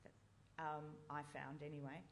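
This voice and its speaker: A woman with a lower, darker voice speaks earnestly on a panel. She leans into her points with feeling. A faint background hum stays under her words. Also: a little slow. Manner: earnest, animated when moved. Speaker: panel poet. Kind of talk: panel discussion.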